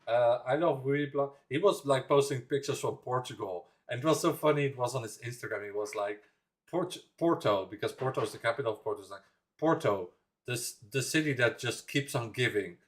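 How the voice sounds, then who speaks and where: A young man from the Netherlands talks loosely on a livestream, wandering from thought to thought with frequent laughs. His voice sits at an ordinary middle pitch. He chats easily, as if to viewers he knows. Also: a little fast. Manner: rambling, chatty, laughs often, reads chat aloud. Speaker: livestream reaction host. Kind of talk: casual livestream chat.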